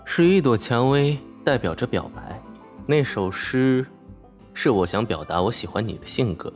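A man's voice: mid-range voice, animated, normal speed, natural voice. An actor playing a confident, devoted young male office colleague and suitor in a romantic audio drama. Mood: tender, earnest. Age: young adult. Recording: plucked guitar music behind the voice.

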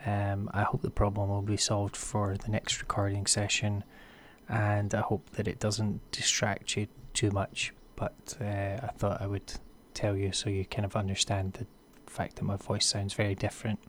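Hesitant deep-voiced podcast host. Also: male voice, monotone, moderate pace, a little hiss or hum. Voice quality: unusually deep voice from a call glitch. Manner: hesitant, many fillers and restarts. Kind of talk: podcast intro and interview questions. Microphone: video call.

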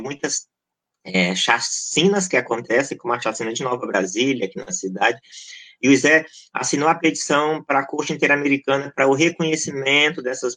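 A man's voice: mid-range voice, bright, forceful tone. A middle-aged Brazilian man, a pastor and social scientist, answering interview questions in an earnest, passionate way with emphatic lists and frequent restarts. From Brazil, born in Maranhão and raised in Goiás.

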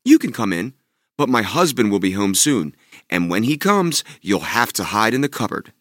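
A man's voice: on the low side, big pitch swings, normal speed, no echo, clean studio sound. A man voicing a kindly but fretful giant woman in a storybook reading, warning and anxious.

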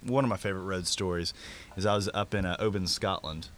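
A man's voice: low voice, quick pace, a bit bright, slight background noise. A man, a guest singer-songwriter from North Carolina, casually telling a story in conversation. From North Carolina, United States.

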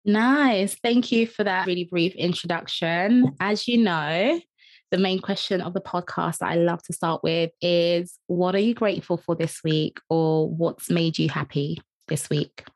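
A woman's voice: lowish voice, a little slow, no echo. Bubbly young woman podcast host. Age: young adult. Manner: bubbly and laughing often. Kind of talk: casual interview chat.

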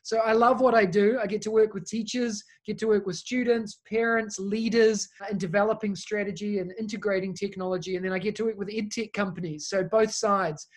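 A man in his thirties with a New Zealand accent talks easily as a podcast guest. His tone is friendly and unhurried, and he runs through long lists of the people he works with. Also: sits high, fairly even intonation. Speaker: guest, education technology consultant. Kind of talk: casual interview chat.

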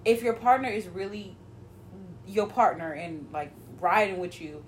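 A woman's voice: mid-range voice, lively intonation, a little slow, slight background noise, low-quality recording. A woman around thirty in casual chat, talking loosely with lots of filler words. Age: 30s.

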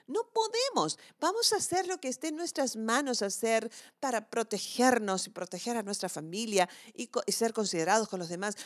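Expressive woman preaching. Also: a little high, no room sound, studio quality. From southern Chile. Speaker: preacher giving a devotional reflection. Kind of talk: conversational devotional sermon with scripture reading.

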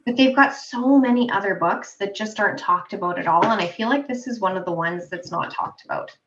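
A woman's voice: medium pitch, fairly quick, fairly bright tone, dull audio, slight background noise. A chatty woman with a young-sounding voice, a podcast guest in casual conversation over a remote call. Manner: chatty, laughs easily. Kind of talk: casual chat.

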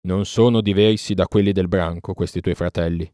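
A man plays a wise old lone wolf from a storybook. He speaks low and calmly, with measured composure and dry irony. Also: no room sound, lightly acted. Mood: dryly calm.

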